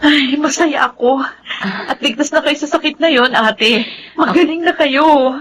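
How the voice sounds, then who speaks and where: A woman's voice: sits high, unhurried, music in the background. A woman playing a kind, forgiving married younger sister in a Filipino radio drama, with earnest, emotional delivery. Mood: tender, sincere.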